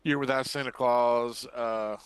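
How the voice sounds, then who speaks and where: An older man hosting a podcast in casual chat, rambling and pausing as he recalls things. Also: medium pitch, steady tone, smooth, no echo. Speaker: podcast host.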